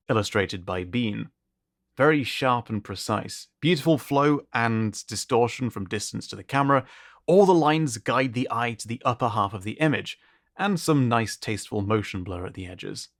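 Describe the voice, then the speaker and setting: A man with a medium-pitched voice narrates a showcase as its host. He reads prepared commentary in an appreciative, descriptive way. The recording is dry, with very little room sound. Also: no room sound. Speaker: host of an art contest showcase.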